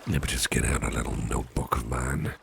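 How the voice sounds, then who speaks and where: A man playing a genial, attentive boss in an ASMR roleplay, unhurried and coaxing, often repeating his phrases. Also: very deep voice, fairly even intonation, exaggerated voice. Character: genial, attentive male boss, courting his dinner date. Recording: clearly noisy background.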